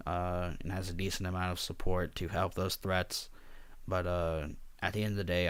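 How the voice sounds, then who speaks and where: Brief: male vlogger, very low voice, hushed and flat, lots of ums, dry close sound. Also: clear, resonant tone, no echo. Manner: quiet, subdued and somewhat monotone; rambling with frequent fillers. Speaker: draft league coach and video host. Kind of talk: casual spoken commentary over slides.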